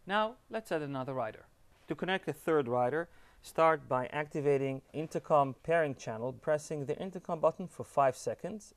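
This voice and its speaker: A man giving scripted step-by-step instructions as an upbeat tutorial presenter. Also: mid-range voice.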